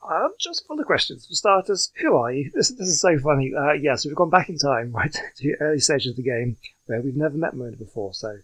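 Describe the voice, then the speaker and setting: Casting: male gaming host, slightly high voice, loose chatty commentary that wanders and hesitates. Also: very animated, a little fast, hardly any pauses, a bit bright. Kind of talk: casual gameplay commentary.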